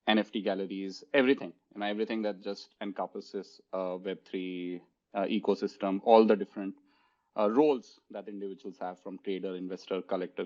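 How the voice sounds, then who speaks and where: An Indian man hosts an interview in a fairly low voice. He speaks with eager restarts and keeps saying "you know". The recording sounds dull and lo-fi. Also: a little mellow. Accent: Indian English. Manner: eager, halting, many "you know" fillers. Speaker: host.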